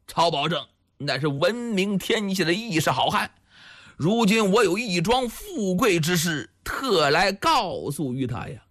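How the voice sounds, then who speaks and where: A man voicing a high-pitched, pleading captive vagabond. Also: big pitch swings, measured pace, fairly bright tone, dry recording, studio quality, heavily acted. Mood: earnest, aggrieved. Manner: pleading, whining, stammering. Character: big rough captured vagabond man, pleading, whining, playing nephew.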